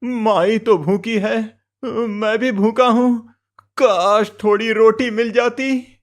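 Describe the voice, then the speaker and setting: Casting: man voicing a naive young goatherd for a cartoon, high-pitched and slow-spoken, earnest. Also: fairly even intonation. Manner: earnest, easily amazed. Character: poor young male goatherd, simple and earnest. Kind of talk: cartoon storybook voice acting.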